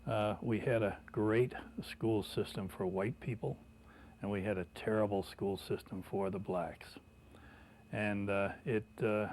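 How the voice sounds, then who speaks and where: An older man being interviewed, recalling past events in a halting, deliberate way with frequent hesitations. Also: lowish voice, a little mellow, a little hiss or hum. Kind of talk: recollection in an interview.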